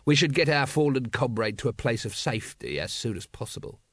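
A man's voice: medium pitch, expressive, fairly quick, fairly bright tone, no room sound, studio quality. Man acting a weary, exasperated watch captain. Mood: resigned, unsure.

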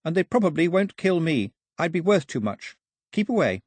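A male narrator plays a young woman in a storybook adventure. The voice is lifted high and husky-soft, urgent and articulate. She pleads and bargains defiantly with sweeping intonation. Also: big pitch swings, moderate pace, no room sound, studio quality. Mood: urgent warning.

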